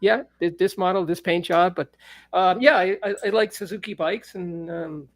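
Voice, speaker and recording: A man with a high speaking voice in a casual, playful, teasing chat as he hosts another musician. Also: normal speed, dry recording.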